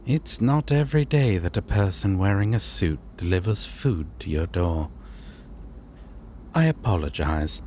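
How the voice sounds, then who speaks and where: A man playing a courteous, formal deity of dreams in an audio roleplay, speaking to the listener with measured, theatrical pauses. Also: deep voice, lively intonation, warm tone, a little hiss or hum. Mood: polite, apologetic. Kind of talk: immersive roleplay addressed to the listener.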